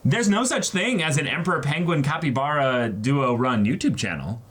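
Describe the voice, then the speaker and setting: Guy co-hosting, super animated voice swinging up and down, playful casual banter, repeats words for emphasis. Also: a little high, normal speed, crowd noise. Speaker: co-host of an unboxing show.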